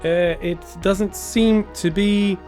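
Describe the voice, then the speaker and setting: A man giving a casual, unscripted gear review to camera, enthusiastic but halting, often repeating words and phrases. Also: sits high, fairly even intonation, a little mellow, soft background music. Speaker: product reviewer.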